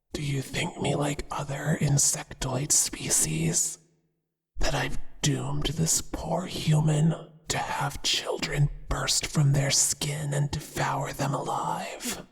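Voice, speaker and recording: Someone voicing a slow, whispering male spider monster. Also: medium pitch, heavily acted. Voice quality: breathy, whispering. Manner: slow, deliberate, menacingly affectionate. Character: possessive, obsessive male spider monster, tender toward mate. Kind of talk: ASMR horror audio roleplay. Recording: hushed whisper with long reverb.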